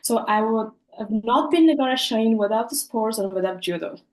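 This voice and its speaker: A young woman from Afghanistan, raised in Pakistan, gives earnest, thoughtful interview answers. She stops for fillers and restarts her sentences often. Her voice is fairly high and sounds echoey, as if recorded in a bare room. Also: lively intonation, fairly quick. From Afghanistan, grew up in Pakistan. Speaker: podcast guest, Olympic judoka.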